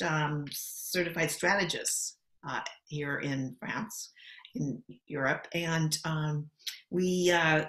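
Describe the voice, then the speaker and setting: A middle-aged American woman with a lowish, bright, forceful voice. She tells her story in an articulate, measured way, and the room gives her an echoey ring. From Los Angeles, USA. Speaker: guest. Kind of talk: interview answers, casual. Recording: roomy echo.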